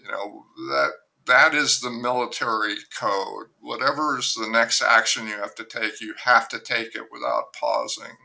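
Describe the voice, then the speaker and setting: Slow, gravelly older man, bright tone. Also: medium pitch, animated. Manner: unhurried, thoughtful, with frequent fillers and restarts. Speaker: novelist guest. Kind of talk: reflective conversational interview.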